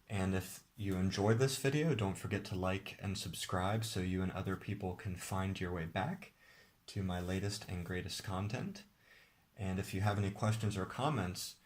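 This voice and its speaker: A man, the game's designer, walking viewers through how to play his card game in a relaxed, explanatory way. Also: low-pitched. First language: English. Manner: relaxed, explanatory, with occasional restarts. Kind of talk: casual tabletop game demonstration.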